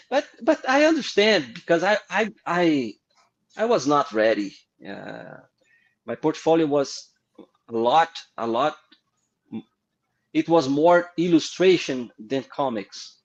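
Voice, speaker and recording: Brazilian-accented male guest, middle-aged, upbeat storyteller, slightly higher register, lo-fi stream sound. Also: takes it slowly, pauses often. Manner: animated, laughing anecdotes with frequent restarts. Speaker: guest comic artist. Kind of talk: casual storytelling interview.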